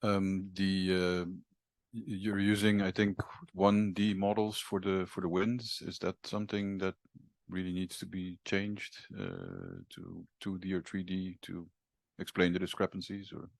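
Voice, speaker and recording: A man's voice: deep voice, unhurried, vocal fry, dry recording. A man in the audience asking a tentative, hesitant question at a conference.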